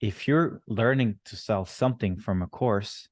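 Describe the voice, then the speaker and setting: Guy from rwanda who moved to canada, low voice, dry close sound, chatting about his own story as a guest. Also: a little slow, no room sound. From born in Rwanda, moved to Canada at thirteen. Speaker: interview guest, entrepreneur. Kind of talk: casual interview, telling his own story.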